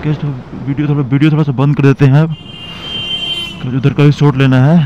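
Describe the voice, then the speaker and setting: A young man, narrating casually to viewers while riding a motorbike through city streets, talking in halting bursts. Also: fairly high pitch, flat delivery. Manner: chatty, halting, addresses viewers as guys. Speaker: motovlogger. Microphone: helmet or bike-mounted action camera mic. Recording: muffled mic with traffic noise.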